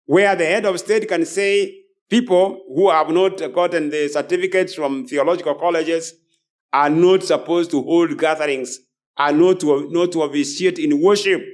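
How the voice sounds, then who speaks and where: A man's voice: high-pitched, moderate pace. A man preaching a sermon as a preacher, emphatic and repeating phrases as he goes. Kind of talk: sermon with scripture and book readings.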